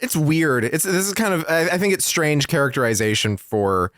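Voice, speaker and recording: Guy podcast host, swingy lively pitch, bit high, casual jokey chat, dry audio. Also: moderate pace, no echo. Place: home recording setup.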